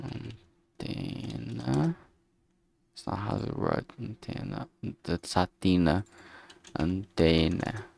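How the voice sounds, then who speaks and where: A man streaming as he works, thinking aloud casually in a halting way and muttering to himself. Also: lowish voice, lively intonation, creaky, darkish tone. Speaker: streamer making a visual novel. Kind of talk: casual think-aloud art livestream commentary.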